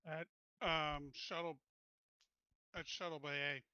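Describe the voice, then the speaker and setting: A man's voice: on the high side, flat delivery, takes it slowly, pushed and bright, smooth, no echo, dull audio. A man playing a tabletop roleplaying game, chatting casually in a blunt, emphatic, sweary way.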